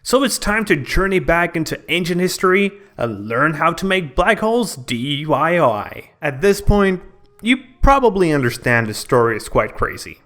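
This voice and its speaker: A man's voice: a little high, sing-song, moderate pace, a bit bright. A man narrating a scripted video game review in a dramatic, storytelling manner.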